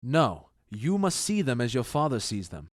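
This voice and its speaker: A man playing a commanding, measured duke in an audio drama, speaking with stately, deliberate authority. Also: medium pitch, very animated, no echo, studio quality. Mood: stern, advising. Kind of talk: modernized Shakespeare audio drama acting.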